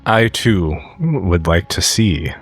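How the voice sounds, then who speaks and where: A man's voice: low voice, very animated, slow, breathy edge, faint music behind. A man playing a tabletop game, joking casually and repeating phrases for effect.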